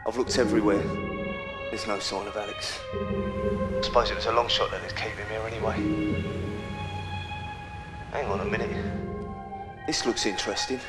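A man's voice: lowish voice, fairly even intonation, moderate pace, pauses often, close to an everyday voice. A man playing a desperate, defiant father caught up with gangsters in a video game, urgent and sardonic, with a London accent. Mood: tense urgency. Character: desperate, defiant father forced into gangland work. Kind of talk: video game cutscene acting. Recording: loud music over the voice.

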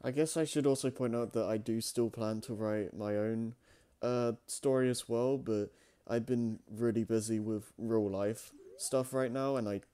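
A man's voice: medium pitch, steady tone, unhurried, mellow, clear, resonant tone. A man co-hosting a show in casual, unscripted chat, with a hesitant, rambling way of talking. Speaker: co-host of a fan fiction reading show.

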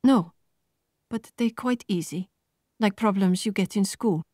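Young woman, frustrated job-seeker in a novel, higher voice, blunt phrasing, played by a female narrator. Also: a little mellow, dry recording, clean studio sound, lightly acted. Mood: defensive. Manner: blunt, halting non-native phrasing.

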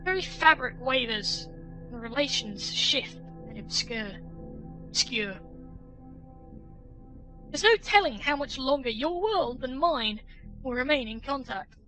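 A man reading game dialogue in a high, sing-song falsetto as an earnest, cheerful female knight who worships the sun, speaking grandly and confidently. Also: lively intonation, measured pace, pauses often, sharp tone, soft background music, heavily acted, low-quality recording. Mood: cheery, grand. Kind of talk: reading a game's dialogue aloud. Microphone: headset.

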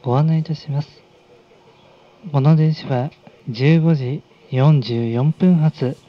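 A man making formal, unhurried announcements as a train conductor. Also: on the high side. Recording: dull recording over train speakers.

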